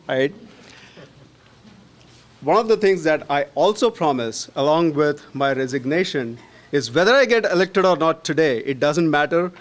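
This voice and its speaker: A man delivers an earnest candidate speech at a community meeting. His voice sits a little high for a man, and he keeps checking in with the room as he makes his case. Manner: earnest, persuasive, often adds tag question "right?". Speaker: board candidate.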